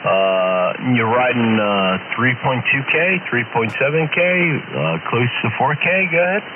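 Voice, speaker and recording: A man's voice: medium pitch, measured pace. A man heard over a ham radio signal, chatting casually in a laid-back, buddy-like way as he calls in. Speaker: amateur radio operator calling in. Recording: narrow hissy shortwave radio signal. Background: static hiss.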